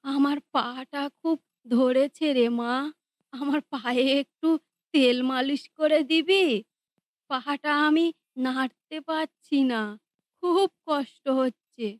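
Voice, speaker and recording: A woman's voice: high-pitched, takes it slowly, no echo. A woman voicing a sorrowful old grandmother in a cartoon, pleading and lamenting her fate. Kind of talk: cartoon dubbing.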